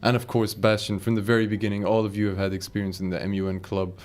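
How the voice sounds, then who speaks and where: A man's voice: lowish voice, little pitch movement, fast, a little mellow. A young man hosting a casual podcast, joking with his guests and steering the chat. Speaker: podcast host. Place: small podcast recording room. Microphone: studio microphone.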